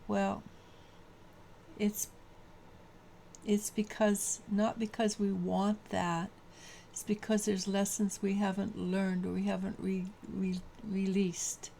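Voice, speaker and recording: Low-voiced lady doing a daily tarot reading, bit of fry, stops and restarts a lot, faint hiss behind. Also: flat delivery, dark tone. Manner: halting, many restarts and fillers. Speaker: tarot reader.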